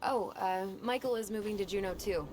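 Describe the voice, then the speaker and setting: An actress playing a brisk, friendly young woman in a video game, casual and practical with a teasing side. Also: medium pitch, noisy background, natural voice. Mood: playful. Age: young adult. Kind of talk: naturalistic video game acting.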